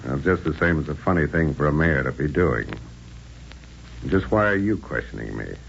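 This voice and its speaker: An American man playing a cool, tough, wisecracking adventurer in a 1940s radio drama, gravelly and dryly sardonic. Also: expressive, moderate pace, exaggerated voice. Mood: sardonic, unruffled. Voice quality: deep, gravelly. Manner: dry, sardonic, unruffled. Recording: dull, noisy old radio recording.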